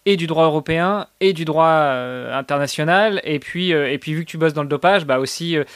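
A man's voice: fairly high pitch, brisk, a bit bright, dry recording, studio quality. A man hosting a podcast, asking questions in a casual, conversational style. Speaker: podcast host, interviewer.

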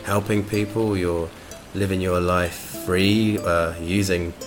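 Deep-voiced chatty man hosting. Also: steady tone, takes it slowly, music in the background. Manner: chatty, enthusiastic, full of fillers and restarts. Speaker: podcast host. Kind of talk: casual interview chat.

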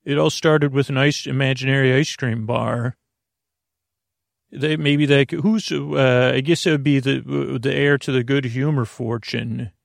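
Creaky-voiced man rambling on a podcast. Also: mid-range voice, fairly even intonation, dry recording, studio quality. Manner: meandering, tangential, halting and self-interrupting. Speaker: host of a sleep podcast. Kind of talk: rambling improvised comic monologue.

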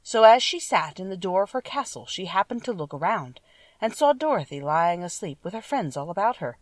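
A woman's voice: mid-range voice, sing-song, fairly quick, no echo, recorded from a distance. A woman reading a children's story aloud as a scripted audiobook narration.

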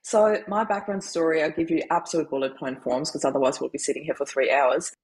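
A woman in her forties with an Austrian accent, a grief coach telling her life story as a podcast guest, animated and laughing often. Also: sits low, fast, breathy edge, low-quality recording. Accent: Austrian German. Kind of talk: conversational interview storytelling.

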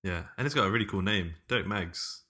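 A man's voice: low-pitched, a little fast, sharp tone, slightly rough. A man hosting a podcast interview in casual, chatty style. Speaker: podcast host.

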